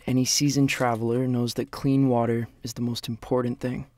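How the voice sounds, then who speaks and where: A man's voice: mid-range voice, little pitch movement, fairly quick, darkish tone, no room sound, close to an everyday voice. A young man acting as a weary, reflective survivor of a post-apocalyptic world in an audio drama. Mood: somber, reflective.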